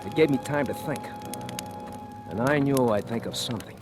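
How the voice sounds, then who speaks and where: An actor playing a desperate fugitive thief in a 1940s radio thriller, with an urgent, haunted delivery. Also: male voice, mid-range voice, normal speed, natural voice. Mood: tense, bitter narration. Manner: stammering, pleading, urgent. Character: desperate handcuffed fugitive thief, male, frantic and scheming. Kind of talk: 1940s radio thriller acting, narrating and in dialogue. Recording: old radio recording with music.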